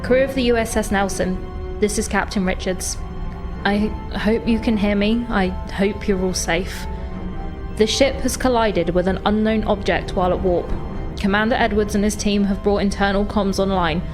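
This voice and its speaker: A woman's voice: mid-range voice, close to an everyday voice. A woman playing a grave, commanding starship captain in a sci-fi audio drama. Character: resolute female starship captain, grave and commanding under crisis.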